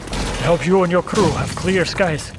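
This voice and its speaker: Resigned, formal besieged survivor, higher-pitched, noisy. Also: male voice, unhurried. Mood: resigned farewell. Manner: formal, earnest, stiffly worded speech. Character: out-of-shape lone survivor under siege, humble, resigned, warm friend. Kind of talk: audio drama acting. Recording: noisy radio link with gunfire.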